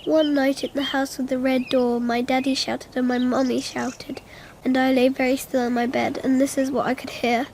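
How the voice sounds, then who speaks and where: A woman's voice: high voice, flat delivery, moderate pace, noisy background. An actress playing a young, imaginative schoolgirl narrating a radio drama in a naive, literal way. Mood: wistful. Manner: naive, literal, childlike phrasing.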